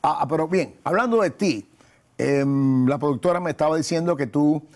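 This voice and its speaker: A man in his sixties hosting a conversational television interview in a studio, with a husky, gravelly voice. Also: on the high side, moderate pace, a little mellow. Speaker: talk show host, pastor. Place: TV studio set.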